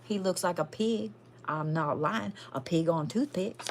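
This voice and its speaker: A woman's voice: lowish voice, measured pace, heavy background noise. A woman playing a sassy, foul-mouthed, folksy Southern woman with a twang, chatting casually as a livestream host. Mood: amused, teasing. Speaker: livestream host doing readings. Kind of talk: casual livestream chat in character.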